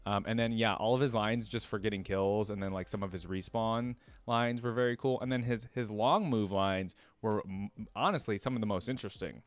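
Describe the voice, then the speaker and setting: Low-voiced casual man, dry sound. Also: fairly quick, hardly any pauses, no echo. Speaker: reaction video host. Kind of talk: casual commentary on video game voice lines.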